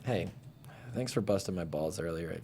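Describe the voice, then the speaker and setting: A man's voice: sits low, normal speed, warm tone, a little hiss or hum, close to an everyday voice. An actor playing a joking, sarcastic married man in a script table read. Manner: sarcastic, jokey, laughs often.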